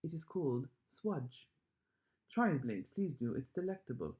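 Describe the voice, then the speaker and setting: A man voicing an excitable, proud, fussy chocolate factory owner in a storybook reading, with gushing exclamations. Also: medium pitch, moderate pace, muffled recording, lightly acted.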